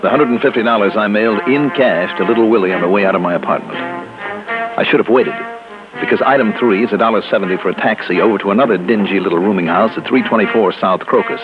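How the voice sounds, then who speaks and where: Low, animated American hard-boiled investigator, man acting. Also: fast talker, close to an everyday voice. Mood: wry, storytelling. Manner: wry, clipped, confident. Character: wry, hard-boiled male insurance investigator narrating his case. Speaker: lead investigator and narrator. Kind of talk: 1950s radio noir narration and dialogue. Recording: muffled old radio recording with music.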